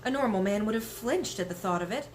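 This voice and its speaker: A woman reads a children's book aloud. Her mid-pitched voice moves with plenty of expression and variety. A little background noise runs under the recording. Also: expressive, quick pace. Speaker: story reader.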